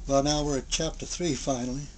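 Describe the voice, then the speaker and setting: An older man reads a children's adventure tale aloud at bedtime. His voice sits at a middle pitch and he sometimes trips over and repeats words. The home recording carries some noise. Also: fairly even intonation, fairly quick. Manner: stumbles and repeats words while reading. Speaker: storyteller reading a bedtime story. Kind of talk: amateur read-aloud narration of a children's adventure story.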